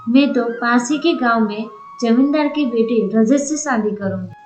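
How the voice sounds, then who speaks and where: A woman's voice: fairly high pitch, moderate pace, darkish tone, reverberant, soft background music, lightly acted. A woman playing an innocent, trusting young village woman in love in a cartoon story. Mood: disbelieving. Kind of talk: Hindi cartoon story dialogue.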